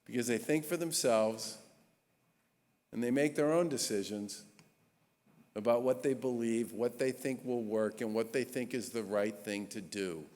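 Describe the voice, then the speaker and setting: A man's voice: mid-range voice, a little mellow. Man in his 60s speaking in a reverberant church. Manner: earnest, emphatic speaking with measured pauses. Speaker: state governor introducing an award honoree. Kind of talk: formal introduction speech at a gala. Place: large historic church. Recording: long reverb of a large church hall.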